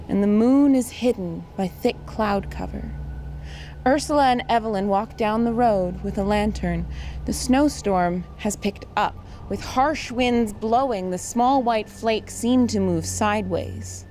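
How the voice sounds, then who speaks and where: A woman's voice: medium pitch, unhurried, a little mellow, a little hiss or hum. A woman reading scripted narration and stage directions for an audio drama.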